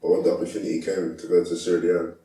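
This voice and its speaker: Young man, deep mellow voice, easygoing interview guest, roomy distant mic. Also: level tone, very fast. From England. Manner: laid-back, soft-spoken, lots of "like". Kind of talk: casual interview.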